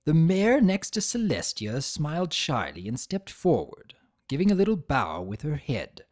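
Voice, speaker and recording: A man's voice: fairly high pitch, huge pitch swings, unhurried, darkish tone. A man reading fan fiction as a dramatic, scripted first-person narration.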